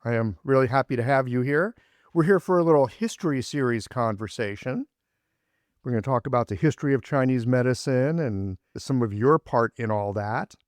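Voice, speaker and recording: A man's voice: medium pitch, moderate pace, no room sound, clean studio sound. A man hosting a podcast interview, asking curious, meandering questions. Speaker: podcast host. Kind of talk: casual interview.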